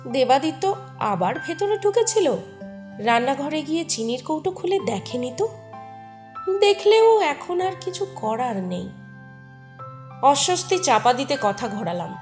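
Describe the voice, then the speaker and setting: A woman's voice: high voice, measured pace, pauses often. A woman playing a flustered young married woman, narrating an audio story of secret love with intimate emotion. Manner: expressive, emotional, intimate first-person telling. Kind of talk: dramatised short-story narration with acted dialogue. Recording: music behind the voice. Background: soft music.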